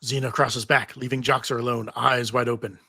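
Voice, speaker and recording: Bright-voiced man narrating a script. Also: medium pitch, level tone, fairly quick, gravelly, slightly rough, dry recording, studio quality. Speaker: narrator reading stage directions. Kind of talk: scripted narration at live table read.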